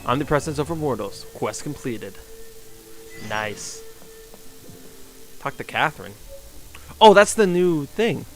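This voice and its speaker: A male game streamer talks casually through his play in a medium-pitched voice. He speaks off the cuff in halting phrases, sometimes reading aloud. Soft music sits behind him. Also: lively intonation, rough recording. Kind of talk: casual live gameplay commentary, reading on-screen text aloud.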